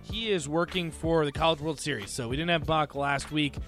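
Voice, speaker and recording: Young guy hosting sports radio, bright sharp voice, bouncy delivery, faint music behind. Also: on the high side, fairly quick. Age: 20s. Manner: upbeat, lively, with big swings in loudness. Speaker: radio show host.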